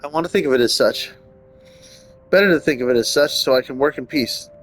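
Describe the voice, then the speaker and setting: A man's voice: mid-range voice, a little fast, poor audio. A man hosting a gaming video, reading game text aloud and adding casual comments. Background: game music.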